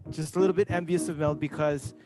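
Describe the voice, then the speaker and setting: A man's voice: a little high, steady tone, fast talker, over loud music. A man on a video call, praising a speaker and teasing playfully about an introduction.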